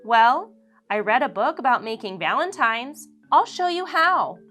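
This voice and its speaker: A woman's voice: fairly high pitch, expressive, unhurried, faint music behind. A woman putting on a bubbly, helpful little girl. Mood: cheerful. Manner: bright, encouraging, bubbly. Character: cheerful helpful young schoolgirl.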